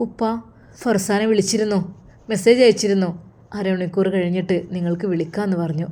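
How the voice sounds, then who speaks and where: A woman's voice: medium pitch, little pitch movement, fairly quick, mellow, lightly acted. A woman voicing a kind, reassuring young male religious teacher in a story, with a Kerala Malayalam accent. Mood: calm, consoling. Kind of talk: storytelling character voice.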